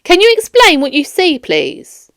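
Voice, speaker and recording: Woman acting stern, measured police detective. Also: high voice, wildly swooping pitch, fairly bright tone, dry recording, clean studio sound, voice pushed up, full character voice. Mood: probing. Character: firm, probing police detective chief inspector questioning suspect. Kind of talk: children's audiobook character dialogue.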